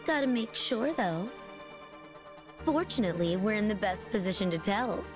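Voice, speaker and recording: A woman's voice: mid-range voice, animated, moderate pace, music in the background, close to an everyday voice. A woman voicing a smug, taunting young villainess in a video game, with a sarcastic, condescending drawl. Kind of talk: video game cutscene acting.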